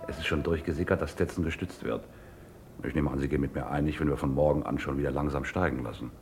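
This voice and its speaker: An actor playing a cool, commanding, politely sinister schemer in an old German crime radio play, speaking formally and matter-of-factly. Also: male voice, a bass voice, fairly even intonation, quick pace, a little hiss or hum. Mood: businesslike, assured.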